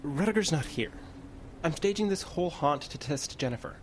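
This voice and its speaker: An actor playing a scheming, nervous young ghost hunter in a comic radio drama, with a hurried, evasive manner. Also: male voice, a little high, lively intonation, slight background noise, lightly acted. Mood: conspiratorial.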